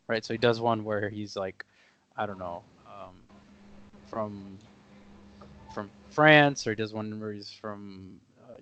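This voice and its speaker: Young man, low voice, casual podcast co-host, chatty, filler-heavy explanations. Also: fairly bright tone. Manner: explains step by step with many fillers. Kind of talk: casual conversation.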